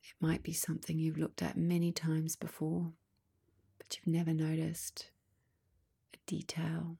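A woman guides a meditation in a low, husky near-whisper with a creaky edge. She speaks very slowly and calmly, in short phrases with long pauses. Her tone stays soft and even throughout.

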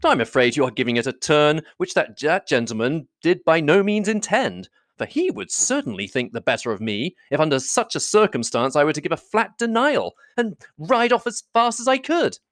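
A reader acts out an amiable young gentleman from a classic novel. The speech is animated and good-humoured, with a lively, swinging melody and an impulsive, self-mocking temper. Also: medium pitch, normal speed, few pauses, no echo, studio quality, voice pushed up. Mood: good-humoured protest. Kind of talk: classic novel audiobook dialogue.

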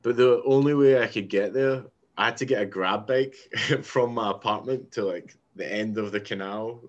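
Scottish guy with a lowish voice just chatting, telling stories, swears a bit and laughs easy. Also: normal speed, muffled recording. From Scotland. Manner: informal, sweary, laughs easily. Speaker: podcast guest. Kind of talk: casual chat, telling anecdotes.

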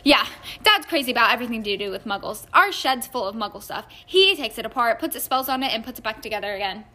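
A woman's voice: fairly high pitch, lively intonation, fast, bright, forceful tone. A woman voicing a chatty, mischievous teenage boy in an audiobook, eagerly explaining things. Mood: amused. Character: teenage wizard boy, chatty, mischievous schemer among brothers.

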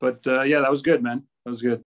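A man's voice: medium pitch, steady tone, fast talker. A middle-aged man from the US Midwest talking casually as an interview guest, informally doubling back on his phrases. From US Midwest, grew up in Minnesota. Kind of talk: casual conversational recollection.

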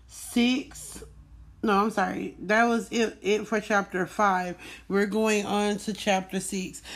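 Informal, chatty woman hosting a book club. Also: mid-range voice. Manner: informal, repeats phrases for emphasis. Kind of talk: casual chat to followers.

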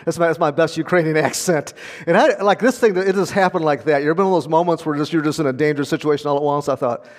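A man's voice: a little high, fast, in a large hall. A man preaching a sermon in an earnest, conversational way, with small laughs as he talks. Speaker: pastor.

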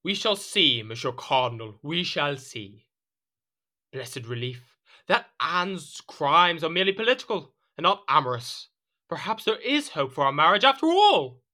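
A man's voice: a little high, very animated, unhurried, sharp tone, heavily acted. An actor playing a proud, petulant French king in a period audio drama, with a theatrical, formal manner. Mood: grudging, thoughtful. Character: proud, petulant French king, suspicious yet conciliatory husband.

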